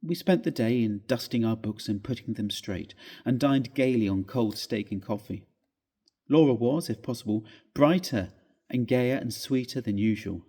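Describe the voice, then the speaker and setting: A man gives a measured, theatrical reading of a classic ghost story, with expressive phrasing. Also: mid-range voice, slightly rough. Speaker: story reader. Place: inside a church, live reading.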